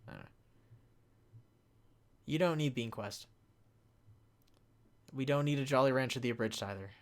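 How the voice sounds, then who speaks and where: A young man with a mid-pitched voice talks casually, as a creator fielding questions from a chat. His delivery is halting and unscripted, dropping to a mumble to read and getting louder to answer.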